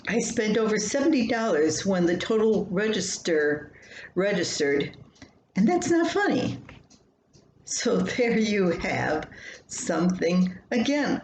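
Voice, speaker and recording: An older woman reading her own family column aloud in an unhurried, plain, homespun way. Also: mid-range voice, a little mellow. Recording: roomy home recording with faint noise.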